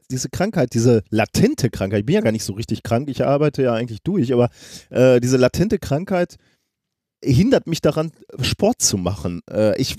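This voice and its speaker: Man with a cold, podcast host, mellow tone, rambling self-mocking chat, dry close recording. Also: medium pitch, husky, no room sound. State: has a cold.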